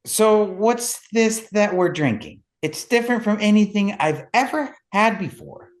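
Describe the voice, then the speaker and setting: A woman's voice: mid-range voice, animated, measured pace, close to an everyday voice. A woman playing a cheeky, blunt and curious visitor in an audio play. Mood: questioning.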